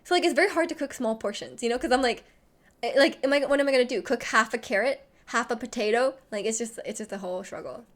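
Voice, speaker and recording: A woman's voice: fairly high pitch, quick pace. A young woman streamer with a slight lisp, chatting casually and rambling with lots of "like" while reading chat messages. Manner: rambling, heavy use of "like".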